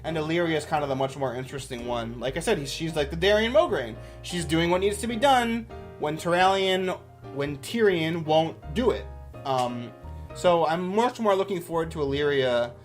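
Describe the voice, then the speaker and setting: A man giving casual, off-the-cuff commentary as a YouTuber, with an enthusiastic, rambling manner. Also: on the high side.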